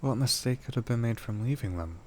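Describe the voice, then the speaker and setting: A man's voice: mid-range voice, expressive, brisk, slight background noise, close to an everyday voice. A man playing an affectionate, playful and protective boyfriend in an intimate roleplay audio drama, talking in a tender, teasing, slightly stammering way. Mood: gentle, concerned.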